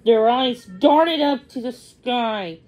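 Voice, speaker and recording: A woman reading a children's story aloud as a read-along storyteller, in a halting, word-by-word way. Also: on the high side, lively intonation, a little mellow, soft background music, rough recording.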